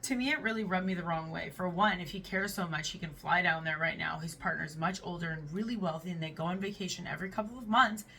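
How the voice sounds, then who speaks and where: Woman, casual reaction host, chatty, gravelly voice, slightly off mic. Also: on the low side, quick pace, hardly any pauses, bright, forceful tone, distant mic. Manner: chatty, reads comments aloud and reacts. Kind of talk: casual reaction to read-out posts.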